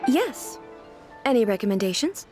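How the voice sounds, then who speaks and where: Regal, earnest ruler, high-pitched. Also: female voice, very animated. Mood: calm, reassuring. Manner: formal, measured, earnest diction. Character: regal, earnest, naive female ruler, formal and curious. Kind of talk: anime-style video game voice acting. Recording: music behind the voice.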